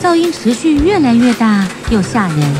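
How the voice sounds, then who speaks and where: A woman's voice: on the high side, big pitch swings, moderate pace, over music. A woman storyteller giving scripted narration for a children's tale.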